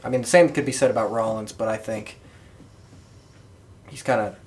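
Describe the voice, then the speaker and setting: A man's voice: on the low side, steady tone, moderate pace. A man co-hosting a podcast in casual, conversational discussion. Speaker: podcast co-host.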